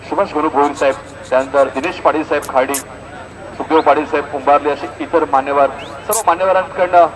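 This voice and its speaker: A Maharashtrian man with a fairly high-pitched voice delivers live cricket commentary. The recording is from an outdoor ground, and there is clear noise behind his voice.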